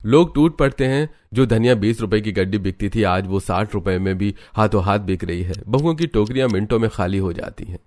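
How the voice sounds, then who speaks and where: A man's voice: on the low side, brisk, hardly any pauses, a little mellow. A man reading scripted narration for a cartoon story in the present tense.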